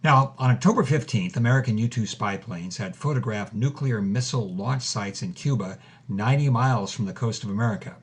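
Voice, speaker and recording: An actor playing an earnest, inquisitive interviewer who lays out facts precisely and methodically in a dramatised interview. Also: male voice, fairly low pitch, fairly quick, hardly any pauses, natural voice. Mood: earnest, serious. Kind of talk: dramatised interview, measured exposition.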